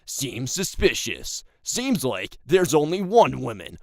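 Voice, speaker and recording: A man's voice: mid-range voice, sing-song, takes it slowly, creaky, dry recording, pitched above the performer's own voice, heavily acted. A man voicing a pompous conspiracy theorist who argues insistently with mock logic. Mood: smug, insistent. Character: pompous male conspiracy theorist denying women exist.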